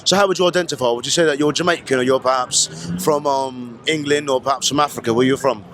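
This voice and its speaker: A man's voice: medium pitch, quick pace, fairly bright tone, slight background noise. A man interviewing people at an outdoor festival, chatty and teasing, with slangy filler and repeated phrases. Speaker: street interviewer. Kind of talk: casual street interview.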